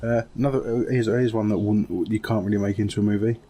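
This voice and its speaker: A man's voice: on the low side, fairly even intonation, fast talker, dark tone. A man chatting casually in a group as co-host of a gaming podcast. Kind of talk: casual group chat about games and films.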